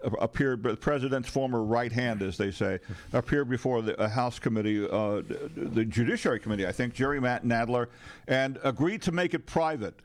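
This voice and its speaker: A man's voice: mid-range voice, a little fast. An American man co-hosting talk radio in conversational banter, emphatic and exasperated, often repeating himself. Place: radio studio.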